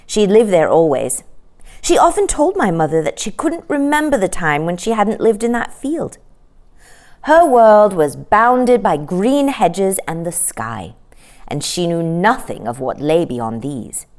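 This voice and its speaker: A woman storyteller reading a children's book aloud with expressive narration. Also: on the low side.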